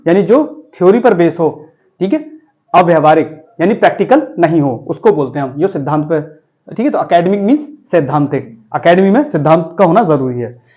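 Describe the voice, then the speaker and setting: A man's voice: on the high side, lively intonation, fairly quick, a little mellow, muffled recording. A man teaching vocabulary to students in a chatty, joking classroom style, often calling them children and friends.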